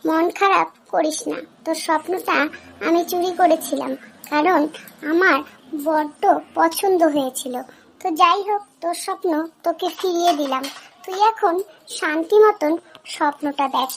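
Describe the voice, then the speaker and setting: A woman's voice: very high-pitched, normal speed, a little hiss or hum. A woman voicing a mischievous, forgetful female fairy in a children's cartoon, chatty and playful. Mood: conceding, soothing.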